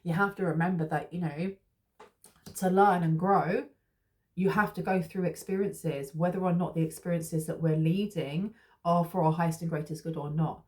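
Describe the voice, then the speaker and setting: Woman, tarot reader, lowish mellow voice, chatty and reassuring, lots of ums and restarts. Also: steady tone, normal speed. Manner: rambling, reassuring, with many fillers and restarts. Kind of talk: casual spiritual tarot reading.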